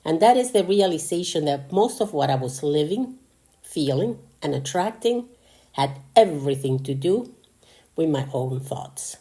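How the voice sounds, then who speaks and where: Mellow older woman, low-pitched, Argentine accent. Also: lively intonation, a little slow. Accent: Argentine Spanish. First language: Spanish. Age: 60s or older. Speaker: podcast host. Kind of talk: personal motivational monologue.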